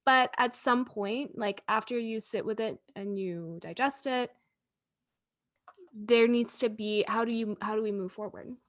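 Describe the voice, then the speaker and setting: A woman co-hosting a podcast, casual and joking, speaking in quick asides. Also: on the high side. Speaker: podcast co-host. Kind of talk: casual conversation.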